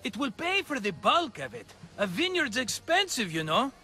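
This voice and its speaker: An actor playing a scheming, flattering, tight-fisted merchant in a video game, with a theatrical, wheedling manner. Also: male voice, very high-pitched, moderate pace, full character voice. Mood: scheming, eager. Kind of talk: video game cutscene acting.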